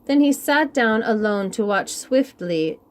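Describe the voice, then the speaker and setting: Female children's narrator, deliberate and unhurried, with lively intonation for picture-book read-alouds. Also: fairly high pitch. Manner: animated, deliberate, with sound words. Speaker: children's storybook reader. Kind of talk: expressive read-aloud storytelling for young children.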